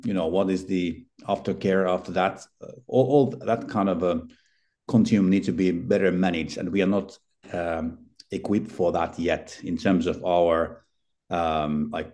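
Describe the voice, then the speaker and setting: A man's voice: low voice, mellow. A middle-aged man from Finland, speaking as a podcast guest in a hesitant way, with many fillers and restarts. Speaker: podcast guest, healthcare consultant. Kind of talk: casual interview talk.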